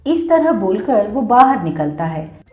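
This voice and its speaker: A woman's voice: mid-range voice, very animated, unhurried, a little mellow, reverberant. A woman reading a scripted children's story as narrator.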